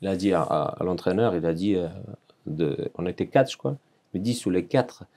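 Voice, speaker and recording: Low Serbian-accented man, mellow tone. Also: expressive, moderate pace. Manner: earnest, searching for words in French, repeats phrases. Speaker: podcast guest. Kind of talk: personal storytelling in an interview.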